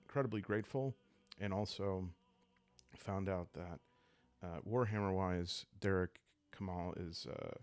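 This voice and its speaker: A man speaking calmly and soothingly in an unhurried way, hosting a relaxing role-playing series meant to help listeners fall asleep. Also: deep voice, no echo. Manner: calm, unhurried, soothing delivery. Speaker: host of a solo role-playing video series. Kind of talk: calm, sleep-aid ASMR narration to viewers. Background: background music.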